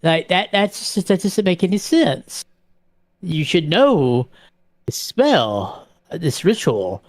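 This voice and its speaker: A man's voice: on the high side, big pitch swings, slow, no echo. A man playing a tabletop vampire game, narrating his character's actions casually and often repeating words as he goes.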